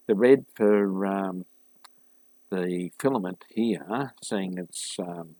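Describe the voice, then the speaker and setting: A man giving a casual, unscripted hands-on repair walkthrough, thinking aloud haltingly and addressing viewers informally as a hobbyist host. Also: low voice, creaky, a little mellow, breathy edge, dry recording, poor audio. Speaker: hobbyist host of a vintage radio restoration series.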